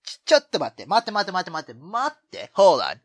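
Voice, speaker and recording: A man hosting a gaming video with casual, reactive commentary, halting, repeating himself and swearing freely. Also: sits high, huge pitch swings, dry recording, studio quality.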